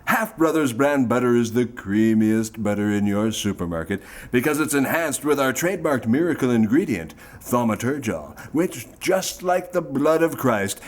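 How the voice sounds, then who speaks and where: A slick old-time variety show pitchman acted by a man delivers smooth, folksy sponsor patter. His pitch is middling but very animated, rising and falling as he sells. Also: close to an everyday voice. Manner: smooth-talking salesman patter, rhetorical questions. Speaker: show announcer.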